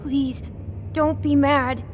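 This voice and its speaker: Game casting: scared little creature boy, very high voice, slow hesitant delivery, apologetic, faint noise behind. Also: flat delivery. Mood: scared, guilty. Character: frightened, guilty young toad boy. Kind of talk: video game voice acting.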